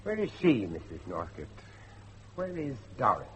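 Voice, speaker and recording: A middle-aged man acting a determined father and judge in old-fashioned radio drama style, with a dramatic, deliberate delivery. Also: mid-range voice, huge pitch swings, fairly bright tone, a little hiss or hum. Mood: firm, probing. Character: grave, determined father, a judge seeking his missing son.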